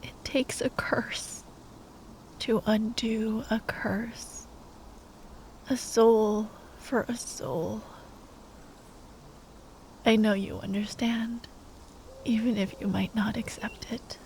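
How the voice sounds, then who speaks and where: Woman acting a slow, hushed, grieving young sorceress. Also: on the high side, little pitch movement, slight background noise, rough recording. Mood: tender resolve. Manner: hushed, halting, often dropping into whispers. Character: grieving young sorceress ex-girlfriend at a bedside, tender and despairing. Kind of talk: intimate ASMR roleplay acting.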